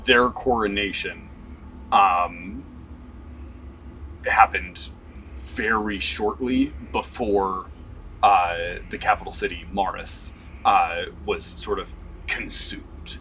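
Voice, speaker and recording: Slow, bright-voiced male game master. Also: low-pitched, steady tone, a little hiss or hum, low-quality recording. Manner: slow, descriptive, many "um"s. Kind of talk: narrating a tabletop game.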